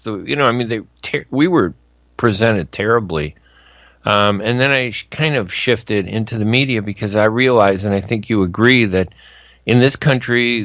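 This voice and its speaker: A man's voice: lowish voice, a bit bright, no echo. A man in his sixties hosting a radio interview, talking in a conversational way and often asking for agreement. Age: 60s. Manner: conversational, seeks agreement with tag questions. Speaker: radio host and journalist.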